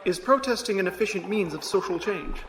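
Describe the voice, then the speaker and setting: A man's voice: high-pitched, a little fast, fairly bright tone, faint music behind. A man acting in an audio drama as a literal-minded artificial servant, formal, precise and deferential. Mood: formal, curious.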